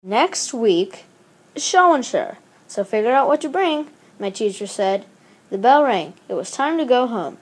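High-pitched, animated storybook reader, female. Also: unhurried, breathy edge. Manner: animated, acts out exclamations and dialogue. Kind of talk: expressive read-aloud of a children's picture book.

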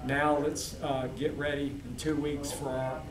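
A man who is a head football coach, answering postgame questions in a steady, measured way with many fillers. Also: a little high. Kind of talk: postgame press interview. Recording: echoey, distant mic, crowd noise.

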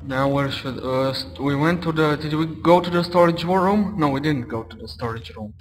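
A man from Romania talks through a horror game in a loud, excitable, joking way. His voice sits a little high, and it trails off into a long reverb. Faint game music plays underneath. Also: normal speed. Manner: loud, excitable, goofy taunting of game enemies. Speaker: let's play gamer. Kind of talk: casual gameplay commentary.

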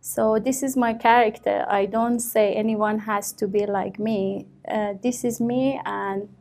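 An Iranian-accented woman in her thirties speaks at a slow, unhurried pace, with a medium voice. She pauses often and restarts her sentences while answering an examiner. She is recorded from a distance in a small office. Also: mid-range voice, fairly even intonation, gravelly, a little mellow. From Iran. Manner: hesitant, many fillers and restarts. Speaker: test candidate. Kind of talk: mock speaking exam answers.

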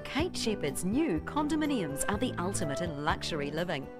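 A woman's voice: on the low side, very animated, normal speed. A woman reading a scripted commercial voice-over as announcer. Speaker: advert announcer. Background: loud music.

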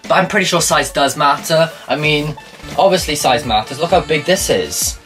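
A man's voice: a little high, animated, moderate pace, music in the background. A young man doing sarcastic reaction commentary in a small room, filmed by a camera set back from him. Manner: mocking, sarcastic. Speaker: commentary youtuber. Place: small room by a blue wall.

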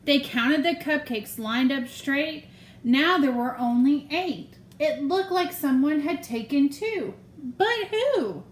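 High-pitched woman reading a picture book. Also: expressive, slow, a little hiss or hum. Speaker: elementary school staff member reading aloud. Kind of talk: children's picture book read-aloud. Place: small room at home, indoors. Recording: roomy home recording.

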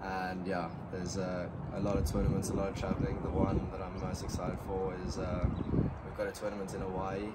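A young South African man in his late teens, answering interview questions outdoors in a soft-spoken, hesitant way with many ums. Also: deep voice, completely flat delivery, a little fast. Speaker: junior golfer interviewee. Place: outdoor deck overlooking a golf estate. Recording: loud outdoor background noise.

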